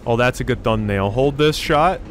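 A man commenting casually on a video game as he plays. His voice is mid-pitched, and he thinks aloud, rambling and repeating phrases. Faint game ambience sits behind him. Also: moderate pace, fairly bright tone, slight background noise. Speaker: gaming YouTuber, let's player.

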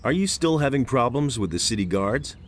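A man's voice: mid-range voice, animated, fairly quick, a little hiss or hum, natural voice. An actor in a video game playing an unflappable wandering hero, blunt and dry in his manner. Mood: matter-of-fact.

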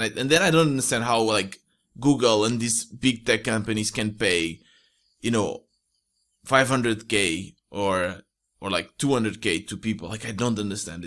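A man's voice: mid-range voice, fairly bright tone. A young man with a Portuguese accent, talking casually as a podcast guest into a close microphone at home. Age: young adult. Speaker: podcast guest, indie entrepreneur. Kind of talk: casual interview chat. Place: small room at home. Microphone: close studio mic with pop filter.

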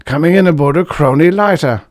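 A man doing Karsh, a loud tipsy drill leader. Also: fairly high pitch, a little fast, no echo, studio quality, voice pushed up, close to an everyday voice. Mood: gruff, brash. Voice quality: loud, slurred, hiccuping. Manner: boastful, gruff barking orders. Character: drunk brash young male drill leader.

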